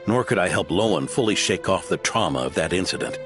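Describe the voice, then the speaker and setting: A low-voiced aging knight. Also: male voice, fairly quick, over music. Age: older. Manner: calm, reflective, self-deprecating. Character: aging, modest, kindly male knight. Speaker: veteran knight. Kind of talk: game cutscene dialogue. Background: background score.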